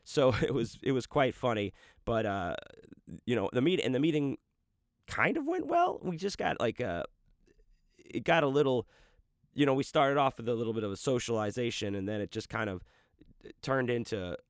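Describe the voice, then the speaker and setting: A man with a low voice chats casually as a podcast host. He rambles and swears freely, with lots of restarts. The sound is dry and close to the mic. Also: dry recording. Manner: rambling, loose and profane, with many restarts and fillers. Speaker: podcast host and audiobook narrator. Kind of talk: casual chat.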